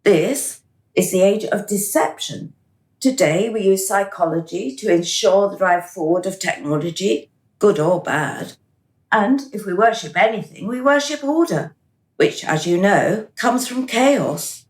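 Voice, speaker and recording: An actress playing a cool, smug administrator of a dystopian afterlife in a radio drama, officious and mocking. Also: female voice, medium pitch, lively intonation, a little slow, roomy sound, natural voice. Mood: stern, superior.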